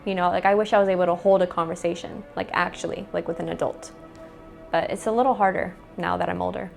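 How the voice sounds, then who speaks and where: A woman's voice: lowish voice, fairly even intonation, fast, gravelly, soft background music. A young American woman answering interview questions in a casual, chatty way, with lots of "like" fillers. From United States. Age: young adult.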